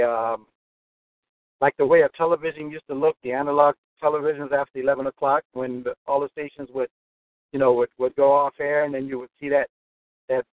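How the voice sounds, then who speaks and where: A man's voice: medium pitch, no room sound. A man calling in from his car while driving to work, rambling with many restarts as he asks his questions. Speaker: caller. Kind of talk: call-in question. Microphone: phone. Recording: phone line with faint noise.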